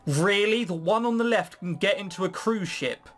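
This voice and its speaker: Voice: medium pitch, normal speed, fairly bright tone, faint music behind. A let's play host giving casual, sweary, incredulous commentary while reading through a game. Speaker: gaming video host.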